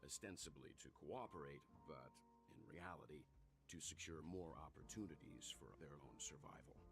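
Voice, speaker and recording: An actor playing a grave, analytical man in a video game, speaking in a measured and serious manner. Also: extremely low pitch, creaky. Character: grave, analytical adult male strategist. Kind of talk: video game character acting. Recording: game music under the voice.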